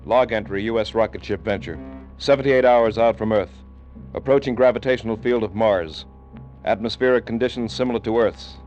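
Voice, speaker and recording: A man's voice: lowish voice, brisk, natural voice. A brooding American rocket captain in an old radio play, acted out by a man, theatrical and poetic, yet able to snap into official orders. The recording is dull and vintage, with music underneath. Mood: formal, matter-of-fact. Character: brooding male rocket ship captain, uneasy, poetic, commanding. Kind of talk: old-time radio drama acting. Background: dramatic background music.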